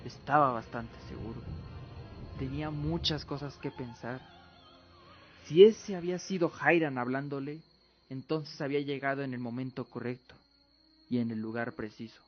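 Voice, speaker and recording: A man narrating a scripted fantasy radio drama as a dramatic storyteller. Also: medium pitch. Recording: dull lo-fi with music underneath. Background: music.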